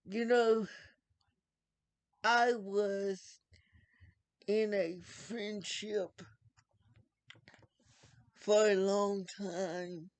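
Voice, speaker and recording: A young man from North Carolina, speaking earnestly and unhurriedly to camera, often repeating himself. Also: sits high. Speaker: video blogger. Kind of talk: casual direct-to-camera talk.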